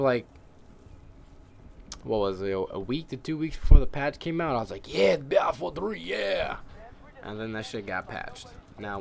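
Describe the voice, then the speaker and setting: A young man with a tired, scratchy voice, rambling and swearing in casual commentary over his gameplay. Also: lowish voice. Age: young adult. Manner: rambling, ranting, heavy swearing. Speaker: gamer.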